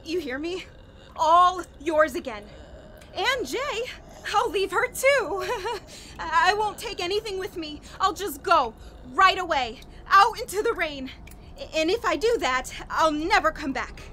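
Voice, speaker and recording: An actress playing a desperate, guilt-ridden woman in a horror radio play, halting and repeating herself as she pleads through tears. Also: very high-pitched, expressive, sharp tone, a little hiss or hum, full character voice. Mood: shocked, fearful, then tearful pleading. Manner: halting, repetitive, sobbing, pleading. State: crying, panicked.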